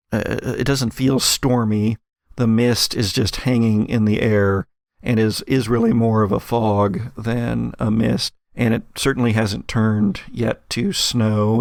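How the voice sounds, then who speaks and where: A man narrating as game master of a tabletop roleplaying game, explaining things in a halting, carefully qualified way. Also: mid-range voice, vocal fry, no echo, clean studio sound.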